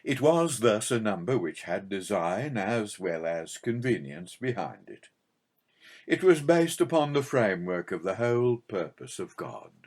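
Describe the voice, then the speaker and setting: A man reading a religious audiobook as scripted narration, in a measured, formal and expressive way. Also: on the low side, husky.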